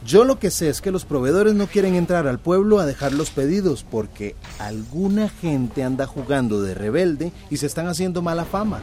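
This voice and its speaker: A man's voice: fairly high pitch, lively intonation, moderate pace, few pauses, dark tone, slight background noise, natural voice. An actor playing a stubborn, defensive young man in a radio drama, dismissive and emphatic. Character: defensive, stubborn grown son loyal to company.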